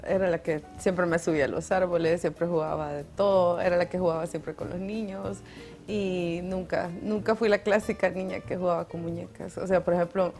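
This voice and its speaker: A woman's voice: fairly low pitch, steady tone, moderate pace, darkish tone, faint music behind. A young adult woman with a Salvadoran accent, chatting as a guest in an interview, laughing often and restarting words. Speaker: guest, mountaineer. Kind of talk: casual interview chat.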